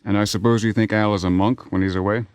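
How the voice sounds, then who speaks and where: An actor in a radio drama playing a persistent, impatient theater man, smooth-talking and sardonic as he presses his case. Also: fairly low pitch, fairly even intonation, fast talker, darkish tone, dry recording, natural voice. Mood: insistent. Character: persistent, persuasive theater man seducing a married woman, impatient. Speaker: lead actor.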